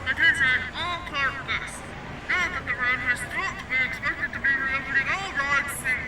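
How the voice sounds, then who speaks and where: Crowd chatter and noise sit behind a very high female voice. A woman plays a polite amusement park announcer with formal, apologetic public-address phrasing in an audio drama. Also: normal speed, lightly acted.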